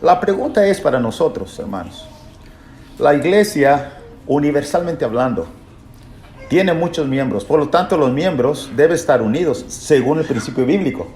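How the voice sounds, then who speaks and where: A man's voice: a little high, lively intonation, moderate pace, a little mellow. A man preaching a Bible lesson in an emphatic, didactic way, posing rhetorical questions and repeating key phrases. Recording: echoey room, faint background noise.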